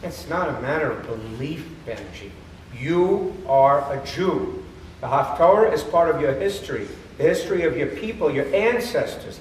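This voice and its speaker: Male actor as persuasive cantor, stage play, declamatory pauses, roomy echo, faint noise. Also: a little high, lively intonation, natural voice. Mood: earnest, persuasive. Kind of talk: live theater comedy acting. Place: theater or temple hall, far microphone. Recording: echoey hall from far off, noisy.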